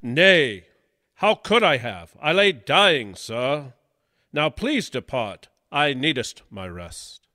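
A man's voice: medium pitch, very animated, slow pace, sharp tone, dry recording, clean studio sound, long reverb tail, heavily acted. An actor playing a melodramatic dying king who speaks in pompous, archaic, theatrical English. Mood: weary, haughty. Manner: theatrical, archaic stage speech, groaning.

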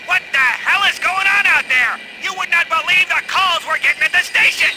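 An actor playing an agitated, bossy police chief barking urgently over a police radio. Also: male voice, very high voice, normal speed. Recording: very noisy police radio transmission.

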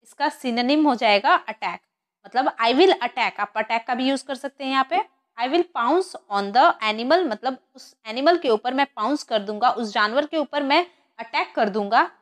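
A woman with an Indian accent teaching an English lesson, reading sentences slowly word by word and explaining them repetitively. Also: high-pitched. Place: voice-over to an on-screen text lesson.

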